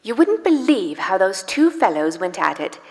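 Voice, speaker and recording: A woman narrating a live radio play in expressive, literary storytelling style. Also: mid-range voice, normal speed. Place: church hall, live performance. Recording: live in reverberant church hall with music.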